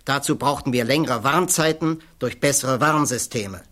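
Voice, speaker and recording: A man's voice: medium pitch, normal speed, fairly bright tone, close to an everyday voice. Actor as wry, outspoken male physics professor. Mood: sardonic. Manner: wry, outspoken, swears casually. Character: irreverent, blunt male physics professor testifying as witness. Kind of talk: radio play dialogue.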